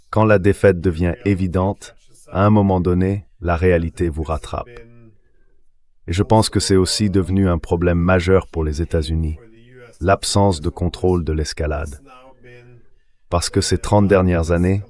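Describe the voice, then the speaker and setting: Male analyst, low voice, measured and explanatory interview delivery. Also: darkish tone. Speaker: guest political analyst. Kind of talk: explanatory geopolitical commentary.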